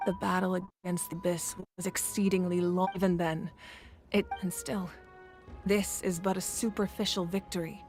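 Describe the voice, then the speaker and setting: An actress playing a composed, commanding female leader in a video game, speaking with grave, measured authority. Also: on the low side, little pitch movement, a little mellow, lightly acted. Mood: grave, solemn. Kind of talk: video game voice acting, dramatic exposition. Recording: music under the voice.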